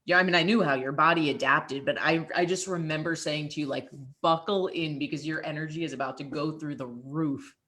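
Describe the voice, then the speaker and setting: A woman with a low-pitched voice talks as a fitness coach. She is reassuring and easygoing and laughs readily while she chats with a former client. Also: steady tone, brisk, few pauses, a bit bright. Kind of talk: conversational interview.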